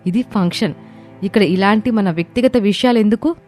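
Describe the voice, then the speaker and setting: A woman's voice: medium pitch, brisk, a little mellow, faint music behind, close to an everyday voice. A woman voicing an earnest young working daughter-in-law who defends herself in a pleading, protesting manner in a moral story. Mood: hurt, protesting. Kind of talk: animated moral story dialogue.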